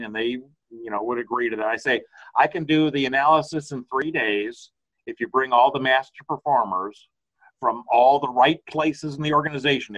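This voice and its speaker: A man's voice: medium pitch, a bit bright, poor audio. Older American man talking over video call. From United States. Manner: rambling, conversational with frequent restarts. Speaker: consultant. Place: home study with bookshelves. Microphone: video call webcam.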